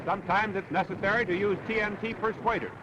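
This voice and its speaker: Old 40s american war newsreel guy, declaiming, pretty high voice, really loud noise and battle booms behind him. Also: steady tone, fast. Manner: dramatic, declamatory. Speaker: newsreel narrator. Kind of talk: 1940s wartime newsreel narration, scripted. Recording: dull, very noisy 1940s newsreel soundtrack.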